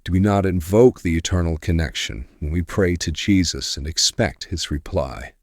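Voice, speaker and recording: An actor playing a weary, gentle and steadfast priest in an audio drama, speaking solemnly and earnestly. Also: male voice, low voice, normal speed, no echo, studio quality.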